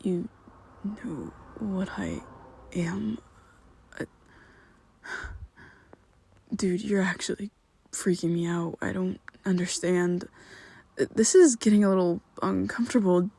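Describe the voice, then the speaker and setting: A woman voicing a secretive, protective young male vampire best friend in an ASMR roleplay, talking haltingly and often dropping to a whisper. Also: fairly low pitch, gravelly, warm tone, a little hiss or hum. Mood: confused.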